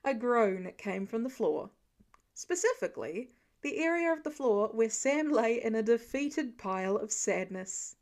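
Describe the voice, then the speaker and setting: A woman reading a story aloud as an audiobook narrator, expressive and scripted. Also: a little high, measured pace.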